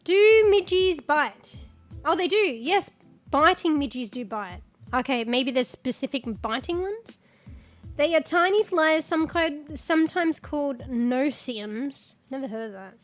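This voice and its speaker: A woman's voice: sits high, lively intonation, a little slow, soft background music. A congested woman, rambling in casual live-stream chat as a streamer, swearing and reading search results aloud. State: congested, losing her voice.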